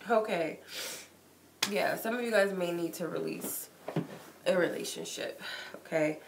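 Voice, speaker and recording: Stuffy-nosed woman with a gravelly voice. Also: lowish voice, a little slow. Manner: chatty and loose, many likes and ums. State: stuffy nose from a cold. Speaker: tarot reader. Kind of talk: live-streamed tarot reading, casual chat. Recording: echoey room with noise.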